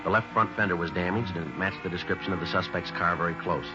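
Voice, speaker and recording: A man playing a stern, businesslike police sergeant who narrates a 1950s radio drama in a terse, deadpan way. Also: low-pitched, fast talker, lightly acted. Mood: flat, factual. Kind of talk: 1950s radio acting, clipped procedural narration. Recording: dull 1950s radio recording with music.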